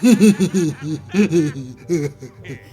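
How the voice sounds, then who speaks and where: A woman playing a bullying but cowardly city slicker villain in an animated fan dub, sneering and easily flustered. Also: low voice, very slow, heavily acted. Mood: sneering threat.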